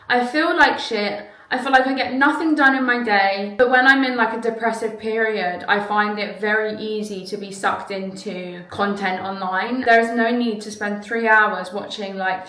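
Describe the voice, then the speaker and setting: A woman's voice: mid-range voice, sharp tone, echoey room, low-quality recording. A young woman, talking candidly and chattily to camera in a reflective vlog, often saying "like". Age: young adult. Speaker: vlogger.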